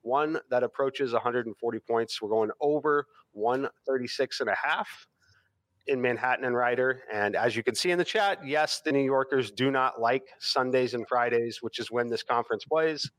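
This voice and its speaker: Betting analyst dude walking through numbers, even tone, dry close sound. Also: male voice, mid-range voice, moderate pace, no room sound. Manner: steady, stat-heavy explanation. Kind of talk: giving betting picks.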